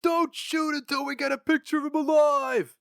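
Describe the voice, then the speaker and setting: A man voicing a commanding, excitable company supervisor barking orders in a story reading. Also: very high-pitched, moderate pace, vocal fry, no room sound, clean studio sound, pitched above the performer's own voice, heavily acted. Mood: excited, commanding. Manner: loud, barking.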